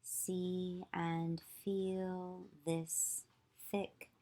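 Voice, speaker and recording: A woman yoga teacher leading a guided visualization meditation, giving slow, soothing instructions with long pauses. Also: lowish voice, level tone, mellow.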